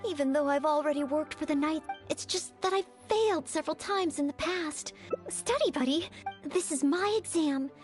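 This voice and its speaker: Lady doing a super high earnest maid girl from an anime game, kinda flustered n hesitant, music behind. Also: normal speed, lightly acted. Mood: earnest, anxious. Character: earnest, modest, flustered young maid aspiring to knighthood. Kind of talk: anime-style video game voice acting.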